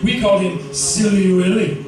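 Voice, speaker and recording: An actor playing a macabre, punning male host of an old radio horror show, with drawn-out theatrical delivery. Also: sits high, steady tone. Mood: gleefully macabre. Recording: echoey, distant old radio recording, music behind.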